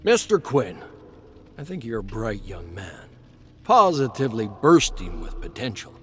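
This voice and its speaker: A man's voice: lowish voice, very animated, a little slow. Man playing a pompous, condescending factory boss. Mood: patronizing. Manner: pompous, patronizing. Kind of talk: dramatized game lore narration. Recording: music under the voice.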